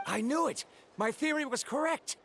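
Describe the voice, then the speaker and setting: A man's voice: very high voice, lively intonation, moderate pace, vocal fry, fairly bright tone, slight background noise, full character voice. An actor playing an earnest male researcher in a video game, explaining things in a formal, pedantic way. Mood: earnest, eager. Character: earnest scholarly male researcher of ancient dragons. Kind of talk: scripted video game dialogue.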